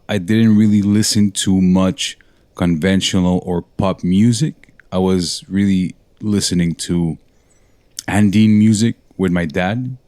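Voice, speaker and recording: A man's voice: low-pitched, dark tone, dry recording. A man from Montreal, a guest musician, telling his story in a rambling, self-correcting way. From Montreal, Canada. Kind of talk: casual interview talk.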